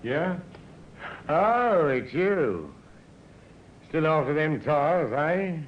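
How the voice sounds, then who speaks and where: A crooked English mechanic in a vintage radio crime play. His voice sits on the high side, his talk is slangy and stammering as he shifts blame, and the recording is dull and muffled. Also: sing-song, very slow, slight background noise, full character voice. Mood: wary, defiant. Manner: colloquial, stammering under pressure. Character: defensive crooked car mechanic, blaming his partner. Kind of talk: old radio crime drama acting.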